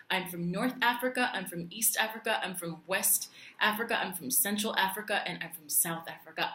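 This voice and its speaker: A woman from Puerto Rico vlogging in a chatty, animated way, with lots of fillers and restarts. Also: medium pitch. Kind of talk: casual talk to camera. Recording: echoey room.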